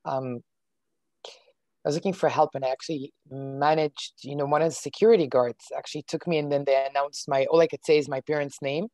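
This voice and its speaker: A woman whose first language is not English, recalling things casually and haltingly as a coaching client. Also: sits low, fairly even intonation, a little mellow. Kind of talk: casual personal recollection. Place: indoors on a video call.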